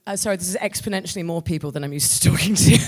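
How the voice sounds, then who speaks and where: A woman's voice: fairly low pitch, long reverb tail. Flustered woman giving an informal acceptance speech. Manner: self-deprecating, flustered, halting. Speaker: writer-performer accepting an award. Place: live on stage at an awards ceremony.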